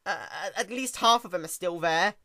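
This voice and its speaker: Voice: mid-range voice, moderate pace, bright, forceful tone, no echo, clean studio sound, voice pushed up. Someone voicing a sheepish, stammering young stallion. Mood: awkward, nervous. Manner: hesitant, stammering, awkward. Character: sheepish, nervous young stallion pony making feeble excuses.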